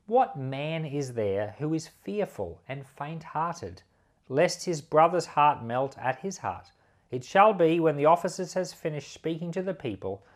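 Man preaching with lively, varied pitch. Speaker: pastor reading scripture and commenting. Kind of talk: Bible reading with devotional commentary.

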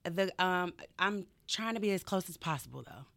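A woman's voice: on the low side, animated, normal speed, vocal fry, a bit bright, dry recording. A woman in her thirties from Atlanta, a fitness coach, talking in an upbeat, playful way as an interview guest. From Atlanta, Georgia. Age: 30s. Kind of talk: casual interview chat.

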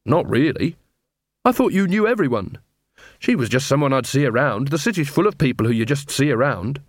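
A man voicing an earnest young city watchman who explains things calmly and matter-of-factly in a story. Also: medium pitch, a little fast, no echo, clean studio sound.

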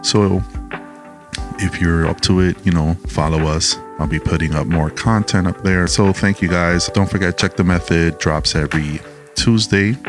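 A man's voice: sits low, fairly even intonation, normal speed, soft background music. A male podcast host with a laid-back manner, asking questions and doing the outro with lots of fillers. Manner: laid-back, many fillers and short backchannels. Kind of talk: casual interview and podcast outro.

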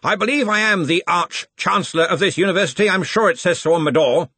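A man reading an audiobook, voicing a blustering, stubborn old male wizard chancellor with a husky voice. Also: sits high, fast talker, pushed and bright, no room sound, pitched above the performer's own voice, heavily acted. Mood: impatient.

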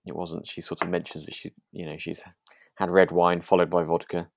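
A man co-hosting a podcast in casual chat, agreeable and a little halting as he works out his thoughts. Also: deep voice, little pitch movement, a little mellow, dry recording, clean studio sound. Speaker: podcast co-host.

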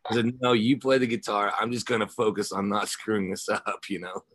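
Low-voiced man telling casual stories. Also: fairly even intonation, brisk, a bit bright, dry recording. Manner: informal, rambling storyteller. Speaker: musician interview guest, rock singer and guitarist. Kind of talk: casual chat, telling anecdotes.

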